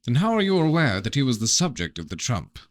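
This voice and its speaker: A man's voice: medium pitch, sing-song, fast talker, no room sound, voice pushed up, close to an everyday voice. A man voicing a calculating, evasive prince in a novel reading, with guarded, formal phrasing. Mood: coolly unrepentant.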